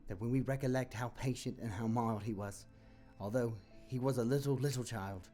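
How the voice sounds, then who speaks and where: A man voicing a humble, grieving clerk and father who talks softly and tenderly, with halting restarts, in an audio drama. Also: mid-range voice, faint music behind, natural voice.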